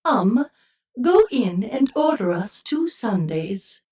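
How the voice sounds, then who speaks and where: A woman playing an unhurried, patient, helpful traveler in a comic sci-fi audio drama. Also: fairly high pitch, very animated, dark tone, a little hiss or hum.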